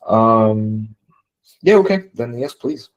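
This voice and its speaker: A man who works as a software developer, talking casually and tentatively through technical points on a team call. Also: medium pitch, animated, slow pace.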